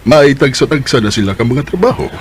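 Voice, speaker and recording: A man's voice: medium pitch, expressive, a little fast, close to an everyday voice. An actor playing an affectionate, weary aging husband and father in a Bisaya radio drama, with a Filipino accent. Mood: content, fond. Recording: noisy radio drama with background ambience.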